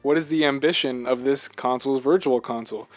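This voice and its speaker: A man co-hosting a casual video game discussion, talking in an animated, rambling way and repeating himself for emphasis. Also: mid-range voice, a little fast, soft background music. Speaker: podcast co-host.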